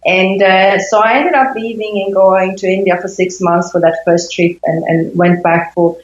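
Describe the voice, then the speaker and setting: A woman in her fifties from Sweden, a native Swedish speaker, giving reflective interview answers with thoughtful pauses in a conversational style. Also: mid-range voice, steady tone, echoey room, muffled recording. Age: 50s. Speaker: interview guest, intimacy coach.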